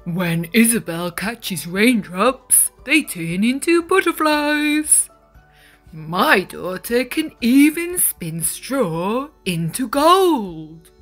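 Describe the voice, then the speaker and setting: A woman's voice: a little high, very animated, very slow, a bit bright, faint music behind, heavily acted. A woman voicing a foolish male miller who brags about his daughter in a storybook reading. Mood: boastful. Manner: bragging.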